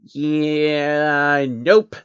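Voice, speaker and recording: A man's voice: on the high side, little pitch movement, extremely slow, clean tone, dry recording, lo-fi sound. A man voicing a cheeky, nervous changeling creature from another world in a whiny, questioning way for a story reading. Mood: disappointed.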